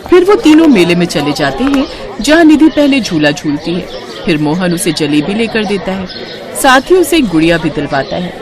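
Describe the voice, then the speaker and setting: A woman's voice: lowish voice, very animated, normal speed, a crowd in the background. A woman narrating a scripted moral story for children as its storyteller.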